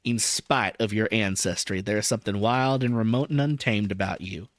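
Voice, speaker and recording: Romantic male lead for a storybook read by a man: admiring, tender, wooing, dropping softly in pitch, dry sound. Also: normal speed, dry recording, lightly acted. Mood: wooing, hushed.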